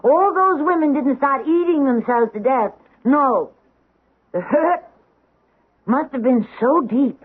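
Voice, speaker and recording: A woman's voice: high voice, animated, slow pace, narrow, tinny audio. A woman playing an anguished, devoted middle-aged stage actress in a theatrical, intense 1970s radio drama style. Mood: anguished, searching.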